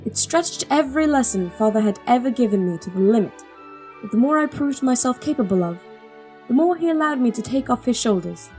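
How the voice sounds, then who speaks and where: A woman as an earnest, high-voiced young princess. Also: lively intonation, a little fast, darkish tone, faint music behind, natural voice. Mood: wistful, reflective. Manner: earnest, articulate. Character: earnest, devoted young princess, seventeen, daughter of king. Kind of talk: audio drama acting with first-person narration.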